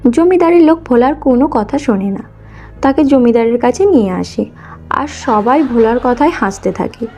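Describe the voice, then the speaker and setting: High-voiced, mellow woman storyteller. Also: lively intonation, normal speed. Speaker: narrator. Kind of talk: scripted storybook narration for a cartoon. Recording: slightly dull audio, music underneath.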